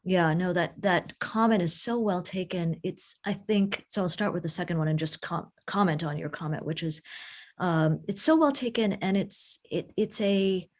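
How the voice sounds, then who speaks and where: A woman with a fairly low voice, speaking as a guest lecturer in an academic Q&A. She answers questions emphatically and often restarts her sentences as she works through a point. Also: normal speed. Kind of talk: academic Q&A discussion.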